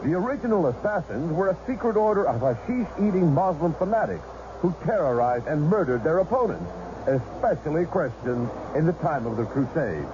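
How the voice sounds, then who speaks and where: An actor playing an opinionated, cynical co-commentator with a pompous, glib delivery in a satirical radio play. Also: male voice, a little high, very animated, brisk. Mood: glib, lecturing. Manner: pompous, glib, know-it-all. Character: opinionated, cynical male co-commentator relishing violence. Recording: muffled radio sound, heavy background noise.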